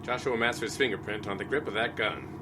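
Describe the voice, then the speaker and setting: A man's voice: on the low side, fairly even intonation, a little fast, close to an everyday voice. An actor playing a practical, skeptical man in a radio detective play, reasoning with mild exasperation. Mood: patient, doubtful. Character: skeptical, practical male companion of a lady lawyer. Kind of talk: old-time radio detective play acting. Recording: very noisy, car ambience behind dialogue.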